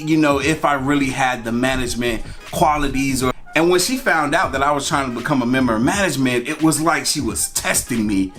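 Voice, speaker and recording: A man's voice: medium pitch, fairly bright tone. A man telling a personal story in a casual, conversational way, repeating phrases for emphasis. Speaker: storytime vlogger. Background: music.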